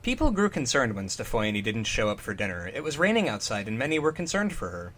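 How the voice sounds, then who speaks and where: A man's voice: mid-range voice, big pitch swings, quick pace, fairly bright tone. A man co-hosting a comic fan fiction reading, breaking off into amused, mocking commentary. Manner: amused, mocking riffs on the text. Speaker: podcast co-host.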